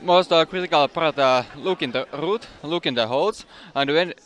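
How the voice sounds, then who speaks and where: A man with a Finnish accent answering interview questions in halting, broken English with many uhs. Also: a little high, fairly bright tone. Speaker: athlete interviewee. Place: outdoors at a climbing event.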